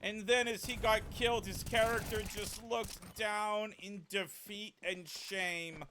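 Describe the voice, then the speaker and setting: A man casting an esports match solo, with a deep voice, calling the plays and chatting with viewers, and excitable enough to build to shouts on big plays. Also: takes it slowly, noisy background. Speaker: esports caster. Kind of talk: solo play-by-play esports commentary.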